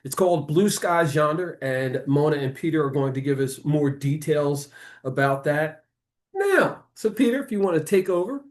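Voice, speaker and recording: A man hosting a conversational interview, announcing things in a deliberate, wordy way. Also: fairly high pitch, animated. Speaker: interview host. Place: remote call, recorded on a backup device.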